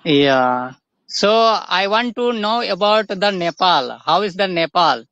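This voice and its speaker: A young man from India with an eastern Indian accent, chatting casually in halting learner English and repeating words as he goes. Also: high-pitched, expressive, a bit bright, clean tone, no room sound, muffled recording. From Jharkhand, India. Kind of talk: casual practice conversation.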